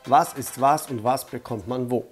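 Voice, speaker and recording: A man's voice: medium pitch, moderate pace, over loud music. A man with a cold walks the viewer through an online tutorial in a friendly, direct way. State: has a cold. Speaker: YouTube channel host. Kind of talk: casual step-by-step tutorial.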